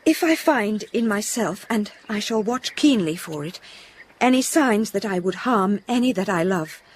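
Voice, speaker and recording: An actress playing an anguished but resolute young wife in a gothic audio drama, earnest and emotional. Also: female voice, mid-range voice, lively intonation, moderate pace, slight background noise, lightly acted.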